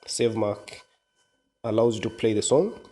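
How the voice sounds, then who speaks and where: A man narrating a software tutorial in an informal, step-by-step explanatory way. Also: lowish voice, moderate pace. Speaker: tutorial presenter. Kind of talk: casual software walkthrough narration.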